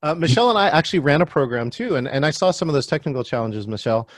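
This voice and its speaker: A man's voice: fairly high pitch, expressive, rapid-fire, no echo, studio quality. A man hosting an online workshop on a video call, calling on attendees by name in a friendly way. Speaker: virtual meeting trainer.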